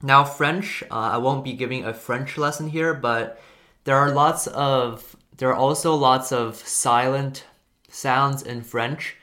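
A man's voice: mid-range voice, takes it slowly. A young man whose first language is Chinese talks casually about learning languages. He rambles a little and often corrects himself mid-sentence. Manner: relaxed, rambling, self-correcting. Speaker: language video host. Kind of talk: casual talk to camera.

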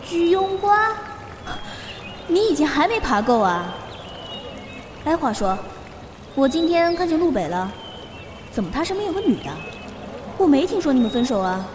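A woman acting a nosy, excitable young friend on a phone call in a Chinese radio drama, blunt and gossipy. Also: high-pitched, normal speed, long pauses. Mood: excited, nosy. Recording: echoey, clearly noisy background.

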